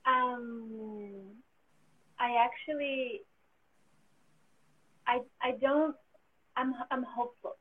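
A woman with a French accent speaks in a fairly high voice as a guest in a casual chat. She laughs often and sounds hopeful and full of faith. The sound is thin and narrow, like a phone line. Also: steady tone, extremely slow, long pauses, slightly rough. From France. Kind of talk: casual conversation.

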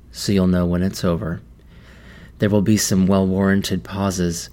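A man leading a guided meditation in a measured, deliberate manner with long pauses. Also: low voice, darkish tone.

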